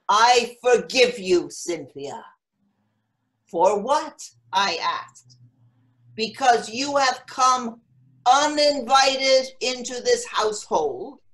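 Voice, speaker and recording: A woman acting a frank, wistful elderly mother of seven in a play reading, speaking with halting, matter-of-fact candour. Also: a little high, fairly bright tone, poor audio. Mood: wistful, tender.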